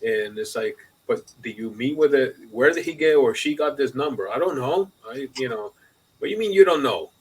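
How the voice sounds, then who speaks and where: A man co-hosting a casual business podcast, talking in a halting, repetitive way and checking the listener follows. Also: medium pitch, animated, breathy edge, rough recording. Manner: halting, repetitive, often asks "you know what I mean". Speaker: podcast co-host and accountant. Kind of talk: casual conversational business talk.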